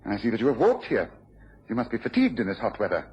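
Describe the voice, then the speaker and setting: An actor playing a restless, analytical gentleman detective in a radio drama, theatrical and precise. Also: medium pitch, wildly swooping pitch, fast talker, slightly rough, exaggerated voice. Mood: courteous, probing. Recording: dull lo-fi radio recording, faint noise.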